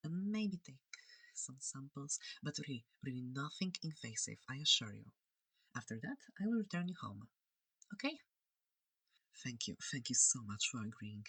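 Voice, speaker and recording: A performer voicing a friendly, curious alien examiner in a soft, hesitant, breathy ASMR roleplay. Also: mid-range voice, very animated, normal speed, no room sound, heavily acted, poor audio. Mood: gentle, reassuring. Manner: soft-spoken, hesitant, gently inquisitive.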